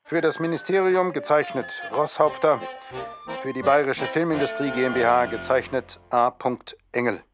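A man's voice: mid-range voice, normal speed. Man reading formally over faint music. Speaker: reader of historical documents. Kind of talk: formal scripted reading of documents.

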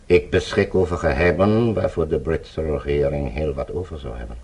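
Very deep-voiced, menacing male blackmailer. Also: moderate pace, a little mellow. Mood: cold, threatening. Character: menacing Eastern European male defector, bitter, self-justifying blackmailer. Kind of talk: Dutch radio play acting.

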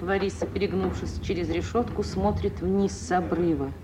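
A woman's voice: lowish voice, fairly even intonation, moderate pace. A woman reading scripted stage directions as the narrator of an old radio drama. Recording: old radio recording with faint hiss.

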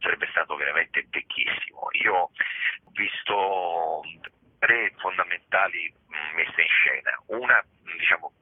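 A man's voice: deep voice, unhurried, slightly rough, dry recording. A man, a theatre director talking through his show in a radio interview, reflective and digressive with many restarts, over a phone line. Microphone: telephone.

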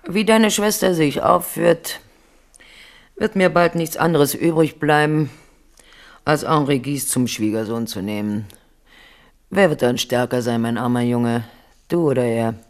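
A scheming old queen mother in a 1970s radio drama. Her voice is low and gravelly, and she gives orders with cold contempt. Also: unhurried, pauses often. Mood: scornful. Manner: coolly commanding, scornful.

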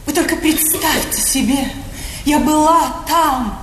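A vain princess of 1800 in a stage comedy, very high-voiced and gushing. She speaks with affected, theatrical rapture and drops French endearments. The sound rings in a reverberant hall with faint hiss. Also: slow pace. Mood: rapturous boasting. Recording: echoey theatre hall, noisy 1980s recording.

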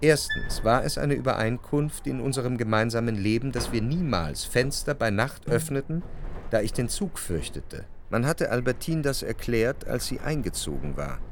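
An actor playing an anxious, jealous lover who recalls his life in measured, introspective radio drama narration. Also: mid-range voice, animated, a little hiss or hum, lightly acted. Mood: brooding, introspective. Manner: measured, introspective, literary phrasing. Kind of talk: literary radio drama narration with quiet dialogue.

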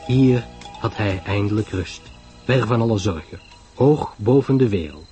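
A man's voice: lowish voice, lively intonation, a little slow, warm tone, faint music behind. A man narrating a mystery story in a dramatic, scripted reading style.

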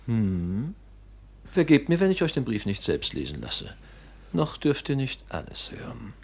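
A falsely pious, envious younger son in a classical radio drama. His delivery is declamatory and oily, swinging widely in pitch around a medium register, with cold ambition underneath. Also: wildly swooping pitch, a little slow, vocal fry, a little mellow, breathy edge, exaggerated voice. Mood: falsely solicitous. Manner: theatrical, declamatory, oily with feigned devotion. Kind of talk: classical German radio play acting.

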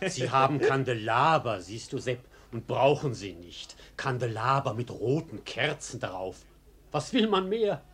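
A man's voice: mid-range voice, big pitch swings, a little slow, a bit bright, breathy edge, exaggerated voice. An actor playing a sly male arsonist in a radio play who poses as a polite, ingratiating dinner guest. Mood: sly, wheedling. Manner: ingratiating, mock-polite, insinuating. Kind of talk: German radio play acting.

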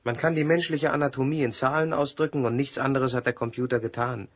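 An actor playing a sceptical, sardonic physicist in a radio drama, with a curt, explanatory way of talking. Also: male voice, mid-range voice, fairly even intonation, quick pace, lightly acted. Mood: impatient, explanatory. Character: sceptical, sardonic male physicist who built the computer. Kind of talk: 1970 German radio drama acting.

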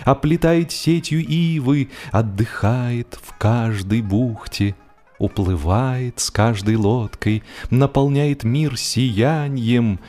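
A man narrating a humorous literary classic in an expressive, theatrical and wry way, with deliberate phrasing. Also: medium pitch. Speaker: audiobook narrator and actor. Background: music.

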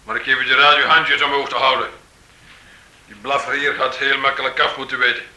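An actor playing a mocking, coolly menacing armed villain in a radio play, with sarcastic, taunting delivery. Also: male voice, mid-range voice, level tone, brisk, pushed and bright. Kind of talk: Dutch radio play acting. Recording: roomy, echoing radio drama recording.

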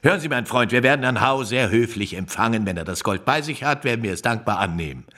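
Shrewd, lively commanding magistrate. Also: male voice, mid-range voice, quick pace. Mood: persuasive. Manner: dry, ironic, persuasive. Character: shrewd male Chinese magistrate bargaining undercover, cool and commanding. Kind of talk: radio play acting.

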